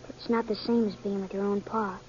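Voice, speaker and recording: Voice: mid-range voice, level tone, fairly quick, a little mellow, a little hiss or hum, close to an everyday voice. A performer playing a stubborn, earnest eleven-year-old American runaway boy with countrified speech in a 1950s radio western. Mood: earnest, worried. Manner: earnest, countrified, plain-spoken. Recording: dull 1950s radio recording.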